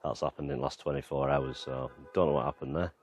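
A man's voice: a bass voice, steady tone, fast talker, a little mellow, faint music behind. A man giving a casual, rambling tour of his allotment and back garden outdoors, with lots of fillers, filmed on a handheld camera. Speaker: gardening vlogger.

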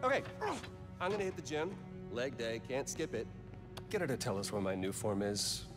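An actor playing a smug, scheming middle-aged executive villain who talks in a casual, mocking, self-satisfied way. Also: male voice, medium pitch, sing-song, moderate pace, natural voice. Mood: gleeful. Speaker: corporate villain. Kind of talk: video game cutscene acting. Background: game score music.

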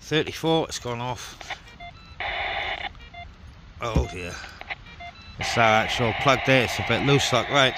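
A man's voice: medium pitch, animated, slow pace, pauses often. A man chatting casually and informally to viewers as he handles gear at an outdoor camp, muttering asides. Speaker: outdoor CB radio vlogger. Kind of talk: casual vlog chat to viewers. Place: outdoors in a wet forest camp. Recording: outdoor camera with clear background noise.

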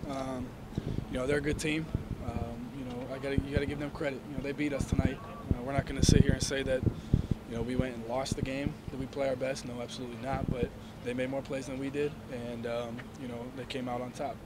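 A young man, a college quarterback, answering reporters' questions after a game, measured and full of "you know"s, into handheld mics indoors. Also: medium pitch, steady tone, very noisy. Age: young adult. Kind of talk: post-game press interview. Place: indoor hallway before a sponsor backdrop. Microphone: handheld reporter mics and phones held toward him.

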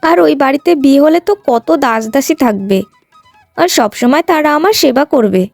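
A haughty young village beauty, played by a woman in a cartoon fairy tale. Her high voice is boastful and petulant, recorded dry with music behind it. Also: expressive, normal speed, gravelly, a little mellow, no echo. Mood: dreamy, smug. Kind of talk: cartoon moral-tale voice acting.

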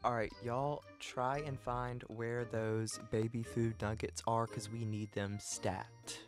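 A man's voice: mid-range voice, little pitch movement, slow pace. A man improvising a video game roleplay as host, chatting in an exclamatory, bantering way. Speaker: host playing a parent in a roleplay. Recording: background music throughout. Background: music.

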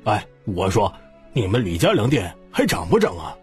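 A man's voice: fairly low pitch, wildly swooping pitch, moderate pace, darkish tone, faint music behind. A man voicing an exasperated male commoner grumbling and complaining in an audiobook. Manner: grumbling, exclamatory.

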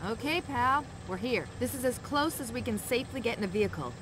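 A woman's voice: a little high, animated, a little fast, background noise, lightly acted. A woman playing an earnest, businesslike field agent briefing a colleague in a video game. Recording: idling car engine behind.

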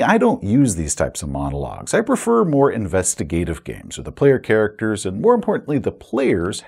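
An American man in his forties hosts a scripted explainer in an emphatic way. His pitch swings widely, and his voice is husky with a gravelly edge. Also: warm tone. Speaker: host, game master. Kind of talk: scripted video essay to camera. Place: small home room with curtains and bookshelves.

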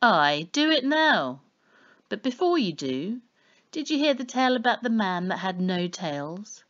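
A woman's voice: medium pitch, sing-song, measured pace, a bit bright, muffled recording, pitched above the performer's own voice. Woman playing a menacing growling boar man. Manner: growling, snarling. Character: menacing half-boar man, wild storyteller.

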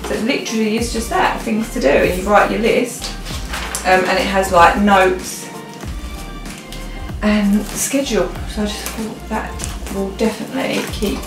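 A woman's voice: medium pitch, fairly even intonation, a little slow. Young British female vlogger, informal and rambling, recorded at a distance in a roomy home with music behind. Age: young adult. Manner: informal, rambling, thinking aloud. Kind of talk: casual chatty haul vlog. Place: living rooms at home. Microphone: camera a few feet away.

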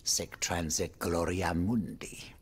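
A man's voice: deep voice, steady tone, slow pace, a little hiss or hum. An actor playing an urbane, worried, wry French nobleman in a video game, with a courtly, theatrical manner that moves between exclamation and confiding murmur. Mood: worried, musing. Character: urbane male French aristocrat, worried, wry, world-weary. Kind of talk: dramatic video game dialogue.

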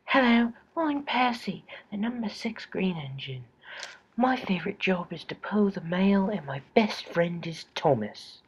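A man's voice: high voice, expressive, a little slow, breathy edge, pitched above the performer's own voice, exaggerated voice. A man voicing a small, friendly young engine for a cartoon, childlike and simple in manner. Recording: dull lo-fi home recording.

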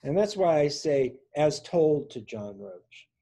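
Man in his sixties, poet reading aloud, unhurried and deliberate, mellow tone. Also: on the high side, very animated. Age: 60s. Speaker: poet reading own work. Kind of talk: poetry reading at a virtual open mic, with informal introductions. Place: small room indoors at home.

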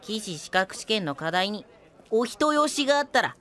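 A woman playing a cheeky young minstrel companion in a Japanese audio drama, lively, teasing and encouraging. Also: medium pitch, moderate pace, some chatter behind.

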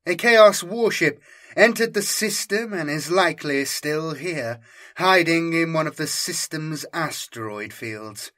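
A man's voice: on the high side, expressive, unhurried, bright, forceful tone, voice pushed up. Picture a stern, imperious woman inquisitor in a grim sci-fi audiobook, as a male narrator puts her on. She is curt and cold, and she snaps out her orders and explanations. Her voice rises above the narration and carries more force. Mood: explaining. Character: cold, commanding female inquisitor with augmetic eye. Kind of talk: audiobook character voice.

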